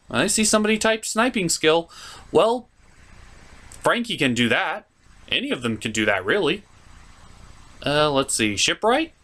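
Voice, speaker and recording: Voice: mid-range voice, a bit bright. A host doing a scripted comedic video review in an opinionated, sarcastic, mock-exasperated way.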